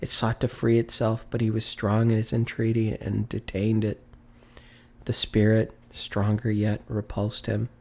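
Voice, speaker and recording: A man narrating a classic story in a solemn, measured, theatrical style. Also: lowish voice, monotone, vocal fry, a little mellow. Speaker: audiobook narrator.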